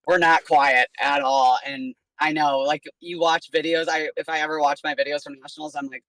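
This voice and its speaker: A young woman with a deep voice that is raspy from shouting, talking loudly and eagerly with lots of "like" as an interview guest. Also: fairly even intonation, moderate pace, no room sound, rough recording. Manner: loud, enthusiastic, lots of "like". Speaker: strongman athlete guest. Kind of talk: casual interview chat.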